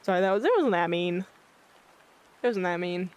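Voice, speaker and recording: A livestreamer chatting casually with viewers in a monotone, blunt-sounding way, often losing their train of thought. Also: medium pitch, moderate pace, clear, resonant tone. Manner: rambling, loses track of thoughts. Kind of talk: casual chat with live viewers. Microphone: filtered streaming mic.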